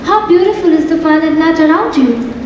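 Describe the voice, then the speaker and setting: A woman's voice: extremely high pitch, lively intonation, brisk, darkish tone, dull audio, slight background noise. A young woman playing a weary, trusting artist on stage. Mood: dreamy, drowsy. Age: young adult. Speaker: student actor in a stage play. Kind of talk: amateur stage acting. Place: large theatre stage. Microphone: far camera in the hall. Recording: echoey hall from far off, music behind.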